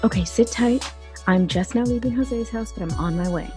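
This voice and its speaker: A woman acting in an audio drama as a bold, flirtatious, gossipy best friend with a sassy, expressive conversational manner. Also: fairly high pitch, moderate pace, faint music behind, close to an everyday voice. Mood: concerned, reassuring.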